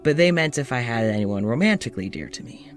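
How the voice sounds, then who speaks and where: A man's voice: medium pitch, lively intonation, fast talker, faint music behind, natural voice. An actor playing a hesitant, sleepless lonely man. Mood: introspective. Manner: hesitant, stammering, self-doubting, sighing. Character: lonely, anxious, sleepless man recording a journal. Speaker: audio journal keeper. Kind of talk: audio drama monologue.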